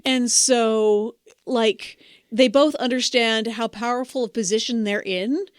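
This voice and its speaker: A woman's voice: fairly high pitch, fairly even intonation, a little slow, no echo, studio quality. A woman co-hosting a podcast, telling stories in casual banter with a wry, emphatic, opinionated manner. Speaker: podcast co-host. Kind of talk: casual banter retelling fairy tales.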